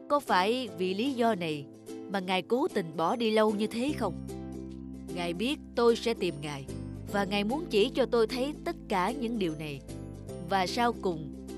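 A woman's voice: medium pitch, animated, normal speed, lightly acted. A woman voicing a stern, dutiful male death messenger in a cartoon, speaking firmly. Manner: firm, questioning. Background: music.

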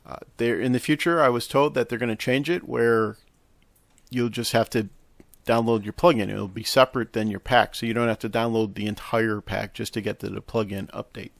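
A man narrating a casual software tutorial, explaining step by step in an instructional way with hesitant pauses. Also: mid-range voice, steady tone, creaky, no echo. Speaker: tutorial host. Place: close, dry indoor voice-over for a screen recording.

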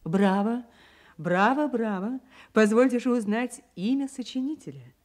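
An actress playing a gracious, teasing society countess in a radio drama, genteel and drawling. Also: female voice, on the high side, unhurried, darkish tone, lightly acted. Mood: gracious curiosity. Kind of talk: Soviet radio drama acting.

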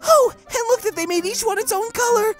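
A man voicing an excitable, sweet, naive young dragon head in a cartoon comic dub. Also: very high voice, fairly quick, soft background music, voice pushed up. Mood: excited.

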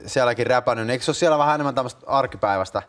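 A man's voice: mid-range voice, fairly bright tone. Man hosting a casual talk show. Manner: conversational, chiming in with short prompts. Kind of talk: casual interviewing. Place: TV studio with small audience.

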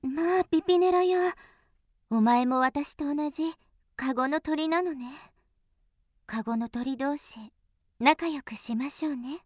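An actress playing a gentle, sad, refined young duchess in a radio drama. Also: female voice, high voice, unhurried, no echo, clean studio sound. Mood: wistful. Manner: soft-mannered, refined. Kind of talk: Japanese radio drama acting.

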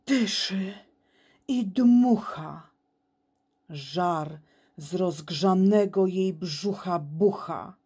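A woman giving an expressive, theatrical recitation of children's poetry, with deliberate phrasing and dramatic pauses. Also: on the low side, breathy edge. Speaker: poem reader.

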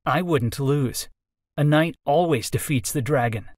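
Man putting on an earnest young man, raised pitch. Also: unhurried, no room sound, studio quality. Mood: playful bravado. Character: earnest young male teaching assistant, slightly goofy.

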